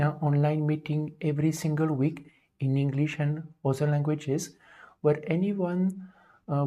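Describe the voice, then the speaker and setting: A man's voice: fairly high pitch, mellow. A man in his forties from France with a French accent, hosting a spiritual livestream in hesitant talk full of fillers. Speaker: livestream host.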